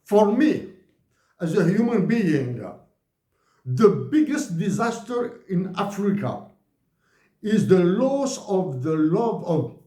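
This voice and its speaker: A man from Algeria giving an impassioned political address to officials, speaking slowly and deliberately with frequent restarts. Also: sits high, very animated, dark tone, reverberant.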